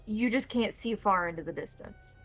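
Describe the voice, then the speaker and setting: A woman's voice: mid-range voice, animated, normal speed, faint music behind. A woman narrating a tabletop roleplaying game as the game master, describing scenes to players.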